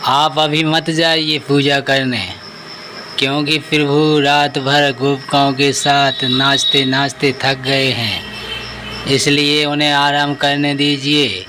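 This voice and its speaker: A man's voice: a little high, little pitch movement, takes it slowly, background noise. A man voicing an innocent, devout devotee in a cartoon devotional story, pleading in an earnest, guileless way. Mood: earnest insisting. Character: Bholu, simple, earnest, innocent male devotee of God.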